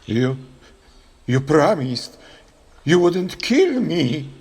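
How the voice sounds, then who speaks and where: A man playing a timid, doomed underling in an audio drama, speaking in a halting, anxious, gravelly voice. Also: fairly high pitch, big pitch swings, a little mellow, heavily acted. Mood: terrified pleading. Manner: halting, drawn-out. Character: timid, doomed male underling, hesitant and pleading.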